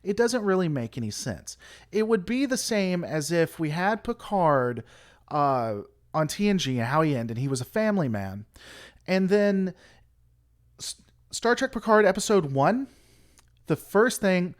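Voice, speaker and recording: A man's voice: high-pitched, very animated, unhurried. A man hosting a casual livestream, rambling through an opinionated critique and answering chat. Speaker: livestream host.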